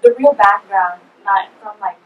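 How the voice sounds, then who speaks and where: A woman's voice: mid-range voice, normal speed, recorded from a distance, poor audio. A young woman student giving her view in a forum, hesitant with many fillers. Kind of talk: forum discussion.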